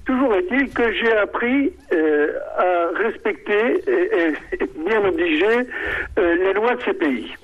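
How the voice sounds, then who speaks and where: A man's voice: sits high, lively intonation, unhurried. An older man calling into a radio debate, speaking hesitantly with many fillers and restarts. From Morocco. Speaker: radio caller. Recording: muffled phone call on radio.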